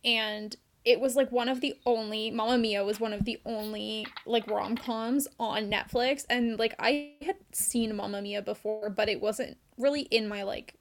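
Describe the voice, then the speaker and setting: Woman, 20s, podcast co-host, casual, lots of "like", higher voice. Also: fairly even intonation, moderate pace, few pauses. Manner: chatty, many "like" fillers, giggly. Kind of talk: casual chat.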